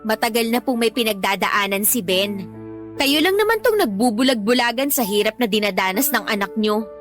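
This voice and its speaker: High-voiced pleading young girlfriend. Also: a bit bright. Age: young adult. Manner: pleading, respectful but firm. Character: earnest young student girlfriend pleading with her boyfriend's mother. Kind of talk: Tagalog radio drama acting.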